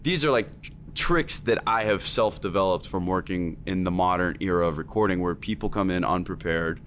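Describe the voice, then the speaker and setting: A man's voice: low-pitched, moderate pace, a bit bright, slight background noise. A man working as a music producer and teacher, talking emphatically in a casual chat, with lots of fillers.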